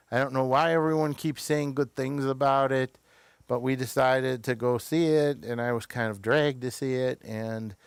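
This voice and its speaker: An American man hosts an unscripted talk show at an unhurried pace. His voice is smooth and clear, recorded dry and close. He speaks hesitantly, wandering through his sentences and sometimes leaving them unfinished. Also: mid-range voice, no room sound.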